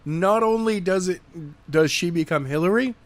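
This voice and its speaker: A man's voice: sits high, moderate pace, no room sound. A man co-hosting a podcast, discussing a story casually in a playful, slangy way. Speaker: podcast co-host and chapter narrator. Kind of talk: casual, joking book discussion.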